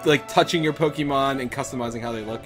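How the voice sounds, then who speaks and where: A man's voice: medium pitch, fast talker. A man on a gaming show panel, thinking aloud as he explains things in casual discussion. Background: music behind the voice.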